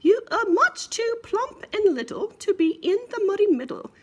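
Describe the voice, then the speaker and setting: A woman's voice: extremely high pitch, very animated, moderate pace, lo-fi sound, slight background noise, pitched above the performer's own voice. A woman voicing a fussy, scolding mother pig with a sing-song, exclamatory delivery for a storybook.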